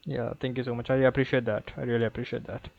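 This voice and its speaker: A man from northern India with a North Indian accent, chatting on an online voice call, soft and hesitant with many fillers. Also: mid-range voice, little pitch movement, quick pace, creaky, crowd noise. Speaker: YouTuber practicing English. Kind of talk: casual chat on a voice call. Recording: tinny phone-like call audio.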